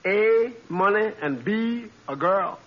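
An actor playing a put-upon, skeptical waiter, wry and needling, in 1940s radio comedy style. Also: male voice, sits high, animated, slow. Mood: dry, needling. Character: put-upon, skeptical waiter, dryly deflating his boastful coworker. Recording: muffled, noisy old radio recording.

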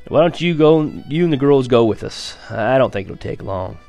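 A man voicing a calm, firm, kindly farmer father in a storybook reading, plain-spoken. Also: medium pitch, normal speed, gravelly, soft background music.

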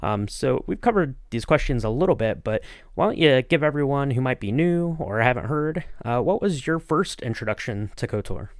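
A man's voice: medium pitch, lively intonation, moderate pace, no room sound. Male podcast host, informal and rambling, mid-range voice, dry recording. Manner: rambling, informal, often saying you know. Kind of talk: casual podcast interview.

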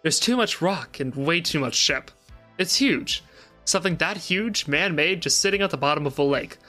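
A man's voice: fairly high pitch, expressive, moderate pace, pushed and bright, faint music behind. A man playing an obsessed, distressed interview subject in a dramatic reading, agitated and repetitive, with swearing. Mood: haunted fixation.

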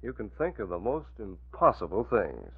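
An actor playing a self-assured, courteous gentleman boss in a 1940s-style radio drama. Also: on the low side, wildly swooping pitch, normal speed, heavy background noise. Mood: wry. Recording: muffled, humming old radio recording.

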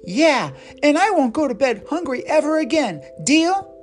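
A woman doing a squeaky, excitable little boy. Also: unhurried, soft background music. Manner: squealing, whiny, playful. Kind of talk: storybook character voice.

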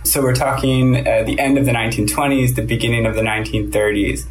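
A man's voice: medium pitch, fast, faint music behind, low-quality recording. Young man explaining art eagerly. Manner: lively, explanatory, with fillers. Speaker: assistant editor, podcast guest. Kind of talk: explanatory conversation about art.

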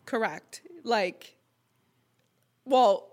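A woman's voice: fairly high pitch, very slow, darkish tone. A woman in her thirties from Montreal, a native French speaker with a French Canadian accent, chatting casually as a podcast guest and filling her talk with "like" and "you know". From Montreal, Quebec. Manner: talkative, lots of "like" and "you know". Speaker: guest, restaurant co-owner. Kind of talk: casual conversation.

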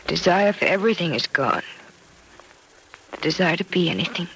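An actress playing a grieving, bitter young hospital patient in an old radio drama, listless and clipped, on a vintage recording. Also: female voice, fairly low pitch, lively intonation, fairly quick, fairly bright tone, slight background noise, poor audio. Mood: grieving, hopeless. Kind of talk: 1940s radio drama acting.

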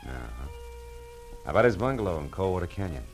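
A man's voice: low-pitched, big pitch swings, quick pace, soft background music. An actor playing a wry, wisecracking male private detective in an old radio detective drama. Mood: casual, probing. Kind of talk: 1940s radio detective drama acting, with narration.

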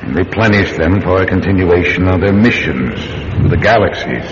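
Deep calm sinister official, formal and slow to get to it, muffled noisy radio play. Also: male voice. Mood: calm, explanatory. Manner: measured, formal, deliberate, hesitant pauses. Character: grave, secretive male government official, calm and ominous. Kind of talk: radio drama acting. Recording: dull radio recording with clear noise.

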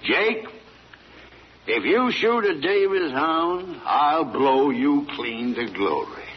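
A man's voice: fairly high pitch, sing-song, takes it slowly, husky, exaggerated voice. An actor playing a proud, protective older country father in a radio drama, with a rural American accent and folksy, plain-spoken delivery. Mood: threatening. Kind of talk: old-time American radio drama acting. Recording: muffled, noisy old radio recording.

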